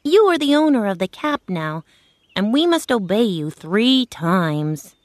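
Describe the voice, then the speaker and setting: A woman doing a calm winged-monkey king. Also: mid-range voice, measured pace, no room sound. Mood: obliging. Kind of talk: storybook reading.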